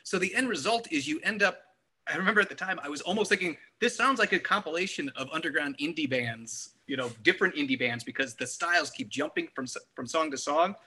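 A man's voice: fairly high pitch, animated, fast, bright, forceful tone, husky. A middle-aged man co-hosting a music podcast, talking casually and analytically with enthusiasm. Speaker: music review podcast co-host. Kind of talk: casual album discussion.

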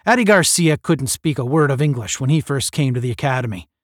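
A man voicing a seasoned male space officer who speaks forcefully and with pride to young cadets. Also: mid-range voice, lively intonation, fairly quick, dry recording, studio quality, natural voice. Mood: earnest, proud. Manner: forceful, proud, instructive.

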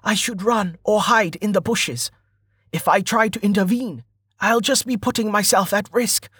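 A man's voice: sits high, normal speed, a bit bright, slightly rough, dry recording, studio quality, pitched above the performer's own voice, full character voice. A man voicing a wary, grumbling traveller talking to himself in a fantasy audiobook. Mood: reluctant, anxious. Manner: muttered inner monologue.

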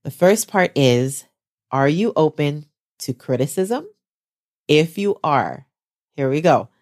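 A woman's voice: very animated, slow pace, a little mellow. A woman hosting a podcast in a chatty, casual way, stuffed up and getting over the flu. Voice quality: lower voice than usual. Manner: chatty, says "y'all", fillers. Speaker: podcast host.

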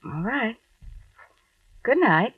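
A concerned young-lady-secretary type in a vintage radio drama. She is deferential and anxious, with a highish, animated voice. The old recording is dull and dry. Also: drawn-out words, breathy edge, no room sound, heavily acted. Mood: dutiful, subdued. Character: concerned, dutiful female secretary to a radio writer. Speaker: actress in a radio horror play.

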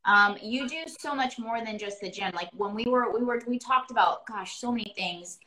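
A woman's voice: a little high, moderate pace, bright, forceful tone, rough recording. A woman hosting a podcast interview, talking in an effusive, rambling, casual way. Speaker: podcast host.

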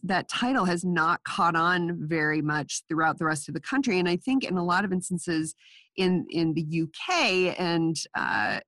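A woman's voice: on the low side, animated, normal speed, fairly bright tone, no echo, studio quality. A woman hosting a podcast interview, a little nasal from a cold, chatty and restarting her sentences. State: has a cold, a bit nasal. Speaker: podcast host. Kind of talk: casual interview.

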